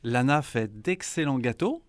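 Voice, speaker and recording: A man's voice: mid-range voice, expressive, measured pace, dry recording. French guy w france accent, stutters n repeats himself, dry sound. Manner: stammering, repeats words. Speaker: café co-owner, guest. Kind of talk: radio interview chat.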